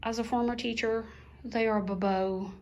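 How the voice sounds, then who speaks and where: A middle-aged woman chatting casually at her kitchen table, slowly listing the things she bought one by one. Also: fairly high pitch, fairly even intonation, a little hiss or hum. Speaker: vlogger, mother of two. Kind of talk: casual haul chat.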